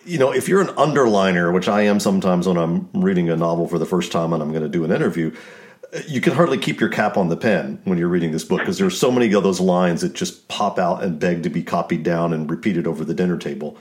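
Lower-voiced male interviewer. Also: fast talker. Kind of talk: interview questions.

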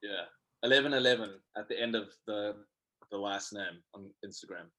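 A man's voice: fairly low pitch, moderate pace, bright, forceful tone. A man speaking casually as a guest performer on a podcast. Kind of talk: casual chat.

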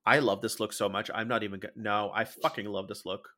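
A man's voice: lowish voice, fairly even intonation, a little fast, sharp tone. A man co-hosting a recap podcast, chatting casually in a campy, sassy, exclamatory way and swearing freely. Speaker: podcast co-host. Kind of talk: casual comedic recap chat about a drag competition show.

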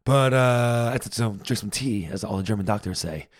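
A man's voice: fairly quick, no room sound. Sniffly man with dry humor. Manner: low-key, dry humor, stammers at starts. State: a little sick and sniffly. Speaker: podcast co-host. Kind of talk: casual chat.

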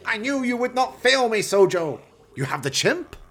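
A man's voice: very high-pitched, big pitch swings, normal speed, fairly bright tone, a little hiss or hum, voice pushed up, full character voice. A man voicing an evil, impatient mad scientist with pompous theatrical delivery in a horror-comedy radio play. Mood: triumphant.

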